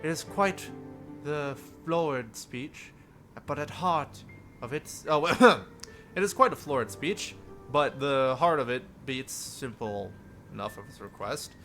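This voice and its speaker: A man's voice: medium pitch, takes it slowly, bright, forceful tone. A man live-streaming a visual novel, reading it aloud theatrically with playful asides. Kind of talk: dramatic read-aloud narration with joking asides. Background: music.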